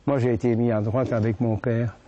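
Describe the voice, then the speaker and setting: A man in his eighties from Brussels with a Belgian French accent, recounting his own memories as a witness, halting and often repeating words as he searches for them. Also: medium pitch, little pitch movement, dark tone, muffled recording. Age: 80s. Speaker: witness giving testimony. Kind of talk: first-person spoken recollection.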